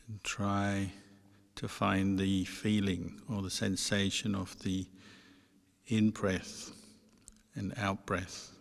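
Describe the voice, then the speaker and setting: A man teaching meditation, giving guided instruction in a measured way with pauses between short phrases. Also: on the low side, creaky. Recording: long reverberant hall sound.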